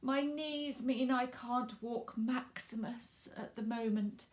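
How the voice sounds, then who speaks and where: A woman's voice: fairly high pitch, takes it slowly, voice pushed up. A woman reading the part of a rueful, sighing neighbour lady in a children's storybook.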